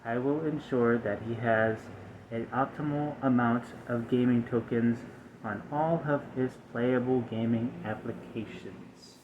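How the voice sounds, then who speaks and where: A man plays a malfunctioning male robot in a soft-spoken ASMR roleplay, mid-pitched and stiff. The phrasing is formal, halting and over-precise, with an aggrieved air. The recording is dull, with some hiss behind it. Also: fairly even intonation, close to an everyday voice.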